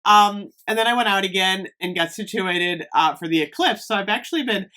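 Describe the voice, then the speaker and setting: A woman from Northeast Ohio vlogging casually about fragrances, slightly hoarse, chatty with lots of fillers. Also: mid-range voice, fairly quick, pushed and bright. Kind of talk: casual chat to camera.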